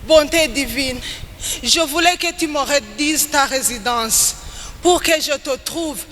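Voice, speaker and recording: High-voiced woman declaiming poetry in a hall. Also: fairly bright tone. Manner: slow, emphatic, declamatory delivery with rising and falling phrases. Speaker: poet reciting on stage. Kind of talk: dramatic spoken-word poetry recitation. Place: large hall at a live event. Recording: echoey hall with background noise.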